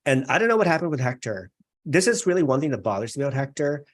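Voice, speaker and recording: A man's voice: medium pitch, very animated, fast. Man hosting a casual video call chat. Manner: friendly, welcoming. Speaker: host.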